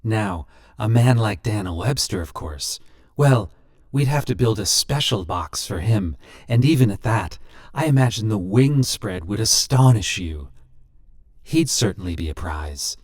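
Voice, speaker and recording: A suave, sinister stranger who collects souls like specimens, as a male storyteller puts him on. His voice sits low and swoops widely, smooth and businesslike with a faint pious simper. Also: expressive, unhurried, darkish tone. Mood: smooth menacing.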